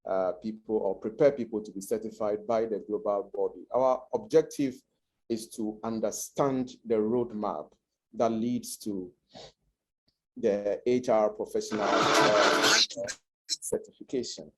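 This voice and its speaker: Middle-aged man, low voice, slightly hoarse, hesitant presenter. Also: steady tone, slight background noise, low-quality recording. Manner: hesitant, many filler pauses. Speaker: guest presenter. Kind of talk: online information session presentation.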